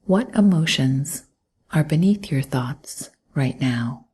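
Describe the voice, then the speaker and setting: Woman, low-pitched, mellow, soft and breathy, gentle guided meditation, unhurried instructions. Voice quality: soft, breathy, often near a whisper. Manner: gentle, calm, unhurried instruction with long pauses. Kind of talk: guided mindfulness meditation, scripted narration.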